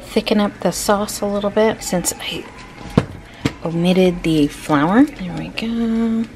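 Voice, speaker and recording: A woman's voice: mid-range voice, takes it slowly, background noise. A woman narrating a casual cooking vlog in a chatty, informal, playful way. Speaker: home cook vlogger. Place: home kitchen, indoors.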